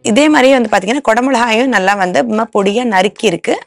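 Woman in her 30s walking viewers thru a recipe, bit of gravel in her voice, lapel mic in a tv kitchen. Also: fairly high pitch, quick pace, dry recording. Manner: explains each step plainly to viewers. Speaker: cooking show host. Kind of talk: step-by-step cooking demonstration. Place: studio kitchen set.

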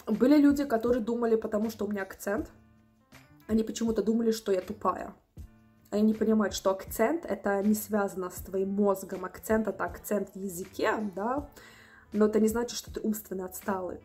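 A young woman in her twenties telling a story to the camera from a small room at home, animated and indignant. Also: mid-range voice, brisk, soft background music. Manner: animated, indignant, acts out customers' voices. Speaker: vlogger.

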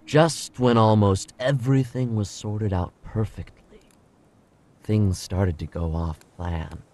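Low, brooding henchman narrator. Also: takes it slowly, mellow, faint music behind. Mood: bitter, brooding. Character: grim, bitter male henchman recounting events. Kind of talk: audio drama narration.

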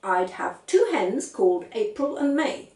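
A woman's voice: on the high side, very animated, measured pace, darkish tone, echoey room, distant mic, voice pushed up. A woman voicing a small, determined and scornful little girl in a storybook reading, emphatic and matter-of-fact.

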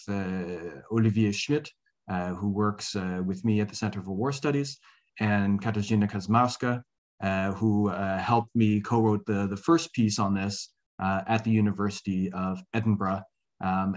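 A middle-aged man giving an academic presentation over a video call, with many fillers and restarts. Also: on the low side. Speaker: guest speaker, associate professor. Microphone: video call webcam.

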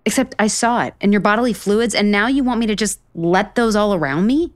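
A woman's voice: mid-range voice, fairly quick, dry recording, clean studio sound, close to an everyday voice. An actress playing a blunt, exasperated single woman in a romantic comedy audio drama, sarcastic, emphatic and profane. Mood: indignant ranting. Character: blunt, exasperated, foul-mouthed single woman, sharp-tongued cynic.

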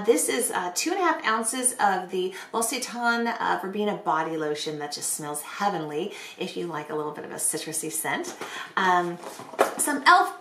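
A middle-aged woman with a slightly hoarse voice chats casually to camera as a beauty vlogger presenting products. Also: mid-range voice, pushed and bright, slight background noise. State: hoarse from bronchitis. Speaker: beauty vlogger hosting a giveaway. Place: small bedroom, indoors. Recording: roomy, echoey bedroom sound.

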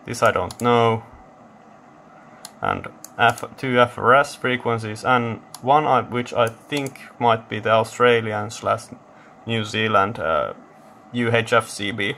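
A man's voice: mid-range voice, animated, slow pace, vocal fry, pushed and bright, slight background noise. A man giving casual hands-on tech commentary, thinking aloud informally as he hosts a teardown video. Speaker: host of electronics teardown channel.

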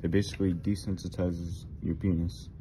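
A teenage guy on a phone vlog in a store, reading product labels aloud with deadpan jokes. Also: low-pitched, normal speed, slight background noise. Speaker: vlogger. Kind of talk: casual handheld vlog. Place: large store, indoors. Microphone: handheld phone camera.

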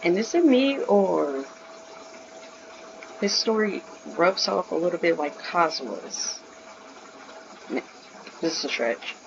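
A woman reacts casually to game cutscenes in a lowish, very lively voice. The mic is dull, with clear noise behind her. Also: takes it slowly, long pauses. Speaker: gaming video commentator.

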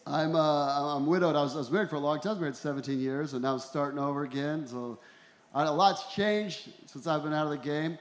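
Higher-voiced male stand-up comic, roomy sound. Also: fairly quick. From Ecuador and El Salvador. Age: 40s. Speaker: stand-up comedian. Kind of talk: stand-up comedy routine. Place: small comedy venue in a tent, on stage. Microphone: handheld stage microphone. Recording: roomy live comedy club sound.

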